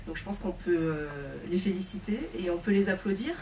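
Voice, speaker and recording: A woman moderating a bookshop Q&A, speaking in a polite, formal, halting way. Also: mid-range voice. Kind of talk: hosting a Q&A. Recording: distant mic with background noise.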